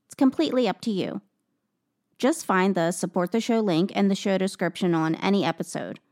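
A woman's voice: medium pitch, a little fast, a little mellow, no room sound, clean studio sound. A woman hosting a true crime podcast, talking to listeners and narrating. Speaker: podcast host.

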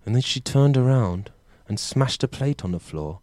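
A man plays a smarmy, middle-aged dating show host named Dave, a cheesy showman who drifts off into rambling stories. The voice is mid-pitched and very dry and close, with no room sound at all. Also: animated, moderate pace, darkish tone, no room sound, natural voice. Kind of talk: improvised comedy skit.

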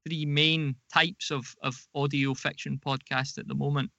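A man talks through his points as an interview guest, in a creaky voice with a crisp, bright edge. The sound is close and dry. He pauses and rephrases as he explains. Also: on the high side, little pitch movement, no echo, muffled recording. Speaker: podcast guest, audio drama producer.

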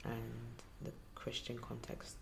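A barber and performer from Nigeria and London, answering in a casual interview with many ums and a soft, half-whispered delivery. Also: mid-range voice, completely flat delivery, slow pace, gravelly. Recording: heavy background noise.

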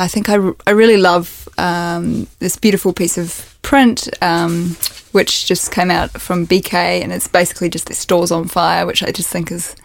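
A woman's voice: lowish voice, creaky, no echo. A woman with a New Zealand accent, a creative director, chatting in an interview with frequent "um"s. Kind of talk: casual interview chat.

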